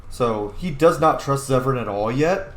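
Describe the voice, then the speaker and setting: A man's voice: medium pitch, lively intonation, normal speed, fairly bright tone. A man narrating his roleplay playthrough of a game in a casual, informal, musing way. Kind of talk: casual game commentary and in-character dialogue reading. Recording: roomy echo, faint background noise.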